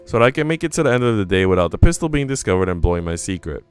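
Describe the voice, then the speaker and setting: Male let's player, lowish clean voice, narrating a visual novel expressively with off-script remarks, soft music underneath. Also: big pitch swings, racing, clear, resonant tone. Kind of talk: expressive read-aloud of story narration and dialogue.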